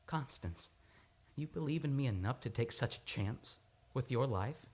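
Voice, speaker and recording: A woman playing a tormented, guilt-ridden amnesiac man in old time radio drama, with a halting, overwrought delivery. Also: a bass voice, expressive, a little mellow. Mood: fearful, reluctant. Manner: stammering, repeating words, overwrought.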